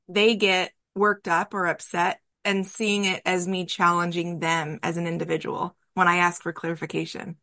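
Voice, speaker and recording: A woman's voice: on the low side, fairly bright tone, no room sound. A woman hosting an interview podcast, talking in an earnest, encouraging and emphatic way about workplace experience. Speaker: podcast host. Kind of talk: interview conversation.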